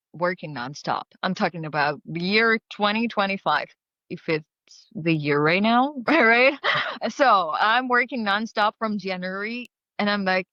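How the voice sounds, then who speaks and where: A woman speaking English as a second language tells a lively, laughing story about her career. Her audio is a bit dull and dry. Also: mid-range voice, no room sound. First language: not English. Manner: lively, laughing, quotes her own thoughts. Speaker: actress and interview guest. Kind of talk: casual interview storytelling.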